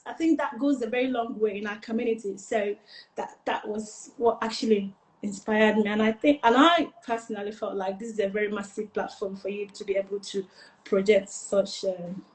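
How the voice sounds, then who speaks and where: A woman's voice: a little high, a little mellow, reverberant, rough recording. A woman from eastern Nigeria, a pageant finalist, answering interview questions in an earnest, rambling way with many fillers.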